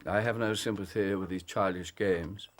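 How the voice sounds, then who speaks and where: An actor playing a stern, disapproving Victorian medical professor in a period drama, with an English accent and pompous, clipped speech. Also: male voice, sits low, steady tone, normal speed, natural voice. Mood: irritated, guarded. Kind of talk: period radio drama dialogue. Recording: faint background noise.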